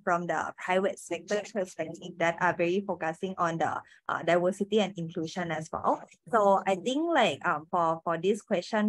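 Hesitant low-voiced woman moderating. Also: no room sound. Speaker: webinar moderator. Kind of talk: moderating a panel.

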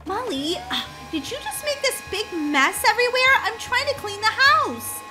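A woman's voice: very high-pitched, lively intonation, normal speed, music in the background. A woman voicing a firm, exasperated mother who chides her children in a kids' game roleplay.

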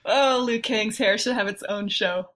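A woman's voice: mid-range voice, normal speed, a bit bright. Playful woman in casual banter. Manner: playful, interjecting. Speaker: podcast guest panelist. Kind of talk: casual film discussion.

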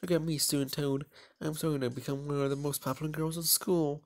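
Man putting on a high-pitched, prickly schoolgirl. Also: level tone, brisk, natural voice. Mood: proud, insistent. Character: proud, prickly, ambitious popular teenage schoolgirl.

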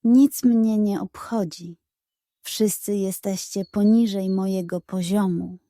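A woman's voice: mid-range voice, fairly even intonation, slow pace, mellow, no room sound, studio quality. A woman voicing a lazy, haughty male cat in a children's storybook, bored, sarcastic and dismissive. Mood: haughty, indifferent.

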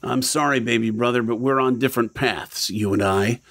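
An actor playing a sorrowful, principled elder brother in an audio horror drama, earnest and gentle. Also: male voice, mid-range voice, normal speed, gravelly. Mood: regretful.

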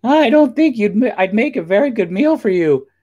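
A man voicing a small, frightened but crafty young billy goat in a storybook, pleading in a high little voice. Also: lively intonation, normal speed, darkish tone, lo-fi sound.

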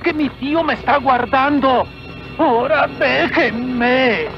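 Humble high-voiced peasant man. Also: takes it slowly, faint music behind, heavily acted. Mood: hurried. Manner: deferential, earnest. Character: humble, devout young peasant man, earnest and obedient. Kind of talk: dubbed cartoon acting. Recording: muffled old cartoon soundtrack.

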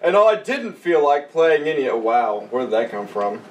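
A man's voice: fairly high pitch, sing-song, moderate pace. A man in his twenties bantering casually with slang and swearing, sometimes reading a story aloud. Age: 20s. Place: small room, group on couches. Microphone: far camera. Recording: distant camera mic, room noise.

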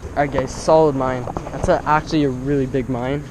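A young man commenting live on his fishing outdoors by a pond, breaking into excited exclamations with every catch. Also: mid-range voice, a little fast, noisy background. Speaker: fishing vlogger.

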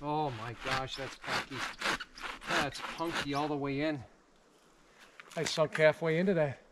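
A man's voice: fairly high pitch, fairly even intonation, a little slow. A man hosting a camping vlog, talking in a casual, folksy and playful way outdoors at a campsite. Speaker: host of a camping trip vlog. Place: outdoors at a wooded lakeside campsite. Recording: noisy outdoor recording with rain patter. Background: rain and outdoor noise.

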